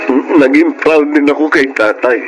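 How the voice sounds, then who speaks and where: A man's voice: fairly high pitch, moderate pace, close to an everyday voice, rough recording. An actor with a Filipino accent playing a humble, guilt-ridden farmer father in an emotional radio drama. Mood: tearful, regretful. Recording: loud dramatic music bed. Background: loud music.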